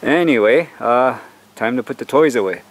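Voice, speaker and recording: A man's voice: mid-range voice, expressive, moderate pace. A middle-aged man chatting casually in his backyard while doing chores, rambling in an easygoing way. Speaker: vlogger. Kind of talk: casual chat. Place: backyard outdoors.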